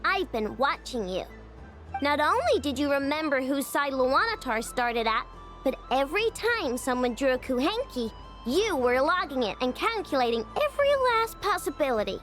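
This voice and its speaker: A woman voicing a small, excitable, cute and easily indignant girl companion in a video game, with a squeaky, dramatic delivery. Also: normal speed. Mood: indignant, accusing. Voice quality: squeaky, childlike. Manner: exclamatory, dramatic. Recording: game music behind the voice.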